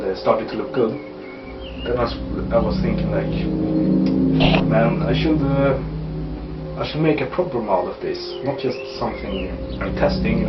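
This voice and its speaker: A man from Sweden with a Swedish accent, speaking English as a second language, telling how he made his game mod in halting casual talk full of fillers and restarts. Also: medium pitch. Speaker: mod creator. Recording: roomy, distant and dull, music behind. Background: loud music.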